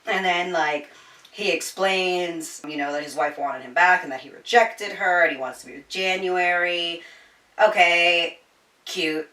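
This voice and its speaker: A woman with a bright, lowish voice reviews a book in a casual, chatty way. She is slangy, swears freely and tells the story with animation. The room is echoey and she sits a little off the mic. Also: a little slow, distant mic. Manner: informal and slangy with frequent swearing; animated storyteller, voicing quoted dialogue.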